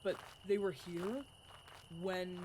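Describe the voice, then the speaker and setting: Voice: mid-range voice, fairly even intonation, takes it slowly, background noise, lightly acted. A performer playing an awkward, friendly young vampire guide in an audio roleplay, rambling and self-deprecating. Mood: sheepish rambling. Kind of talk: narrative audio roleplay acting.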